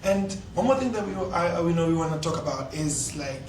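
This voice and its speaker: A man with a South African accent moderating a casual student panel in a relaxed, chatty way. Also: a little high, brisk, distant mic. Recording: echoey room, off-mic, background noise.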